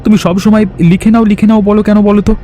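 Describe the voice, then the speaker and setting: A man's voice: high-pitched, level tone, rapid-fire, mellow, lightly acted. A man voicing an honest, exasperated young husband in a cartoon, pleading and reproachful. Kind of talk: cartoon voice acting.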